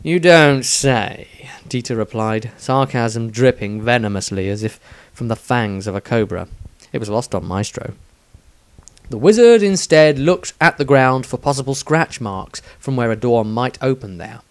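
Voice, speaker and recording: A man reading his own fantasy story aloud in a deliberate, dramatic storytelling manner. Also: mid-range voice, lively intonation, smooth, no room sound. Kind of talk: scripted fantasy audiobook narration.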